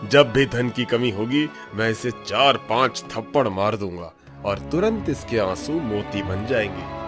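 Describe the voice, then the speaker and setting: A man voicing a greedy, bullying village chief's son in a cartoon, speaking in a gruff, threatening way. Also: on the low side, lively intonation, a little slow, a bit bright. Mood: scheming. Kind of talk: cartoon dubbing. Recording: faint background music.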